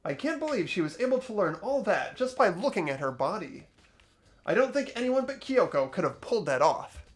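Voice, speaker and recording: A performer voicing an earnest, uneasy teenage schoolboy who narrates an investigation in a visual novel game, hesitant and expressive. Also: medium pitch, a little fast, soft background music, natural voice. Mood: pondering, startled.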